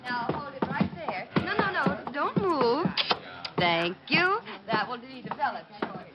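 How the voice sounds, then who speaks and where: A woman's voice: sits high, very animated, unhurried. Sassy nightclub girl, muffled recording. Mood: brisk patter. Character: brassy, feisty young nightclub photo girl. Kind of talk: old-time radio crime acting. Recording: muffled, faintly noisy vintage radio recording.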